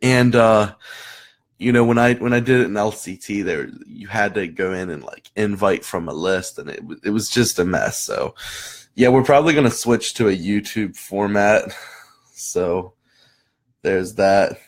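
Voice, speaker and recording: A man hosting a casual live stream for marketers, his voice hoarse after losing it, rambling with many restarts and fillers. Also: lowish voice, measured pace. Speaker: host of a live marketing chat.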